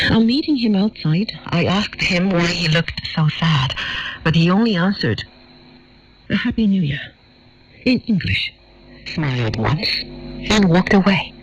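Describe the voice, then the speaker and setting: A man's voice: fairly high pitch, a little hiss or hum. A man reading a memoir aloud as scripted audiobook-style narration, heard over lo-fi shortwave radio. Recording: dull lo-fi shortwave radio, music underneath.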